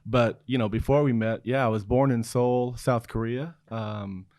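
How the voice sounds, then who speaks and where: A man's voice: medium pitch, steady tone, moderate pace, a little mellow, dry recording. A middle-aged man raised in Oregon, a podcast guest telling stories in a small studio, easygoing and chuckling as he talks. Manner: easygoing storyteller, chuckles through answers. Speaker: guest, design executive. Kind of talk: casual interview chat. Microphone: studio mic on boom arm, headphones.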